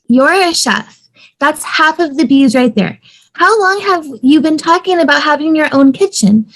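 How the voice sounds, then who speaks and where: Woman playing an eager young wife, high voice. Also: lively intonation, moderate pace, a bit bright, low-quality recording. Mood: eager, persuasive. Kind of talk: table read acting.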